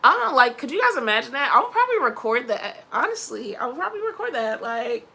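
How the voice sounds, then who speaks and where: A young woman with a Philadelphia accent chats in a loose, rambling vlog. Her voice is bright and forceful, with a gravelly fry and a slightly high pitch. A faint hiss sits behind her. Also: very animated, quick pace. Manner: chatty, rambling, laughs often, faith talk. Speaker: vlogger. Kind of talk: casual personal vlog.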